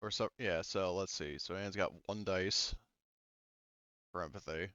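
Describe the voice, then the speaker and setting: A man running a tabletop game as game master, pausing often with ums while he counts dice and tracks the turn. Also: sits low, pushed and bright, smooth, no room sound, lo-fi sound. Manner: halting, many ums, counts dice aloud.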